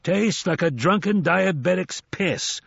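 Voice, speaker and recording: Man voicing a sly, grandiose old hustler, animated. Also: high voice, unhurried, gravelly, slightly rough, no echo, highs cut off, pitched above the performer's own voice, heavily acted. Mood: relishing. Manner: grandiose, persuasive, theatrical. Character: sly, grinning older male con artist, commanding, jovial. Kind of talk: audiobook character acting.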